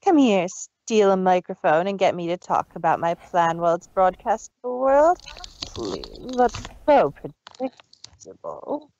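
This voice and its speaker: A woman voicing a scheming, unhinged female pony villain with a slow, condescending, taunting delivery in a story reading. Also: on the low side, very animated, darkish tone, highs cut off, slight background noise. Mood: smug contempt. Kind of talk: dramatized fan fiction reading.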